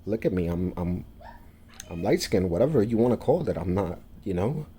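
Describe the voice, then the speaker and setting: New man, very low voice, dark mellow tone, halting, lots of fillers. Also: lively intonation, slight background noise. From New York, USA. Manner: halting, many fillers and restarts. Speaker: vlogger. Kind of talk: casual monologue to camera.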